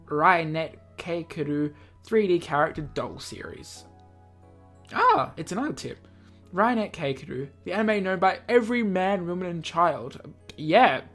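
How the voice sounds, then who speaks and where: Let's player reading a visual novel out loud, stumbles a bit, jokey comments, music in the background. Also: medium pitch. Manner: stumbles over words, jokey asides. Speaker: let's play video host.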